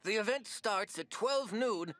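Chipper old tackle seller, high pitch, lively swoops, folksy game character. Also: male voice, measured pace. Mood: chipper, inviting. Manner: folksy, eager, salesman-like. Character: chipper, folksy old male fishing shopkeeper. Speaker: video game shopkeeper. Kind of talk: video game voice acting.

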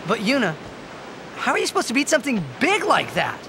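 A man's voice: a little fast, heavily acted. A young man with an American accent playing an eager, naive teenage hero in a video game cutscene, speaking in an earnest, boyish way. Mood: puzzled. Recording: game audio over steady surf-like rumble.

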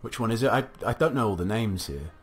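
A young man with a low, slightly gravelly voice talks through a strategy game, musing aloud to himself in a loose, chatty way. Soft game music plays faintly under his desk-mic commentary. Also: animated, fast talker. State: recovering from a cough, slight gravel. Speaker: let's play commentator. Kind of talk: casual gameplay commentary.

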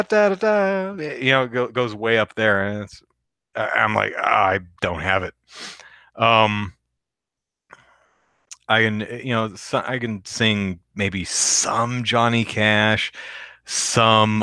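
A man with a deep voice hosting a live podcast, reading the chat aloud and rambling casually with many fillers and restarts. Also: sharp tone, dry recording, clean studio sound.

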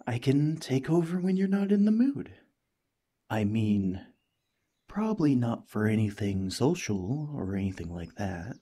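Man playing a husky, gentle, cheerful alien parasite. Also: on the low side, heavily acted. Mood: soothing, apologetic. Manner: gentle, chatty, playfully earnest. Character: cheerful, friendly young male alien parasite, eager and reassuring. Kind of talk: ASMR roleplay acting.

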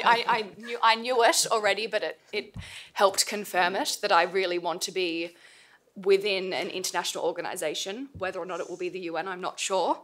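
A woman's voice: medium pitch, a bit bright. A young woman speaking on a panel at a table mic, hesitant with many fillers, her voice tired and fading. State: losing her voice. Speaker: panel speaker, former intern. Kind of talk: informal panel talk. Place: seminar room, panel table.

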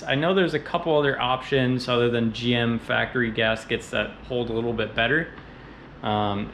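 A young man hosting a car vlog from his home garage, talking through his project plans in a relaxed, informal way with plenty of ums. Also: mid-range voice, a little hiss or hum. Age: young adult. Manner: relaxed and informal, many ums, talks shop in loose run-on lists. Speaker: car channel host. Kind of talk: casual garage walkthrough vlog.

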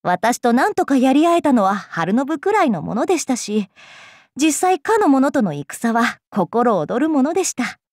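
Lively, swooping warrior maiden. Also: female voice, high-pitched, a little slow, dry recording, studio quality, exaggerated voice. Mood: playful, indignant. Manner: polite, composed, playfully indignant. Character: playful, polite female warrior, battle-loving rival. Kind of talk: Japanese mobile game character lines.

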